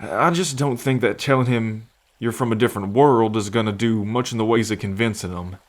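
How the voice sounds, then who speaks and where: A man's voice: on the low side, a little fast, close to an everyday voice. Man acting as a folksy, friendly half-bull man. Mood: gentle, concerned. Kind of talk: ASMR roleplay, acted speech to the listener.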